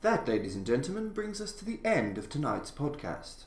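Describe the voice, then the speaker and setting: A man, the podcast's host, reading a horror story aloud in a measured, theatrical style. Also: fairly high pitch, big pitch swings, soft background music. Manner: measured, theatrical storytelling with slow emphatic passages. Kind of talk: dramatic scripted narration of a horror story. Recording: roomy echo.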